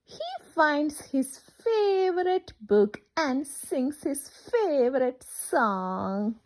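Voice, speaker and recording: A woman's voice: sits high, low-quality recording. A woman reading a picture book aloud to children in a singsong, interactive way, asking questions as she goes. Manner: animated, singsong, asks questions and pauses for children. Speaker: children's storyteller.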